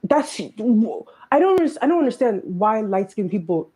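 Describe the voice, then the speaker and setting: A woman giving earnest, emphatic social commentary in a video clip, talking in quick bursts. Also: mid-range voice, sing-song, dark tone, husky.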